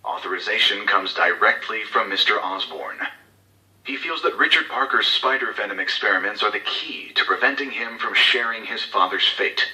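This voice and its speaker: A man's voice: lowish voice, moderate pace, sharp tone, echoey room, recorded from a distance, poor audio. An actor playing a cold, scheming male corporate executive who gives covert orders in formal, clipped, authoritative speech for a game audio log. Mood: businesslike, menacing.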